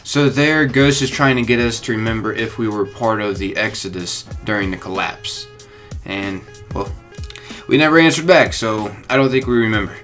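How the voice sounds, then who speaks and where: A man's voice: fairly low pitch, normal speed. A young man hosting a gaming video, talking to viewers in a casual, chatty, informal way. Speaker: gaming YouTuber, host. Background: light music.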